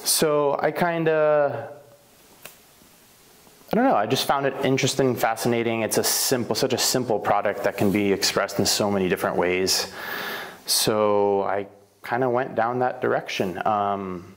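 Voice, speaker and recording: A man's voice: fairly low pitch, normal speed. A man from Slovenia who owns a bakery, telling his life story in a casual interview, rambling with fillers and self-corrections. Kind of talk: casual oral history interview.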